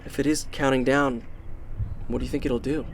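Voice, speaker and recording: A man's voice: mid-range voice, fairly even intonation, normal speed, lightly acted. Man acting a sarcastic college-age guy, faint noise. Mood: sceptical. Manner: dry, needling. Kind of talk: naturalistic radio drama acting.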